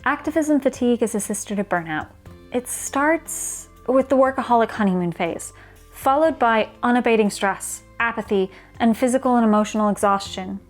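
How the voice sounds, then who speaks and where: Higher-voiced woman, measured scripted narration. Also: faint music behind. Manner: measured, formal, earnest. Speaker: guest contributor on activism.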